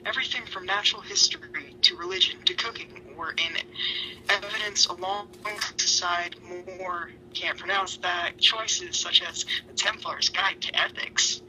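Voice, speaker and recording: A woman's voice: on the low side, a little slow, sharp tone. A woman reading a story aloud in an amateur dramatic style, with sweary, self-mocking asides. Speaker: fan fiction reader. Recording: noisy home recording.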